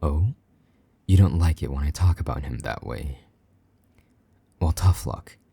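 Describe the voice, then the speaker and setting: A possessive male vampire knight, acted by a man for intimate audio roleplay. The voice is rock-bottom deep and very mellow, with a breathy husk that drifts toward whispering. He speaks with condescending, jealous menace, close and dry. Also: animated, no room sound, heavily acted. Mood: jealous, contemptuous. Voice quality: husky, breathy, half-whispered at times. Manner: condescending, slow-burning menace, mock-sweet endearments.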